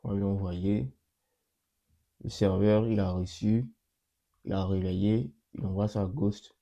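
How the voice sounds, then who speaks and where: A young man hosting his channel and talking through a coding demo in a casual way, with a cold that makes his voice a bit off. He repeats himself often and fills pauses with hesitations. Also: fairly low pitch, fairly even intonation, dark tone, lo-fi sound. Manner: repeats himself, many fillers and restarts. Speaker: channel host. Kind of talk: casual screen-recorded coding walkthrough.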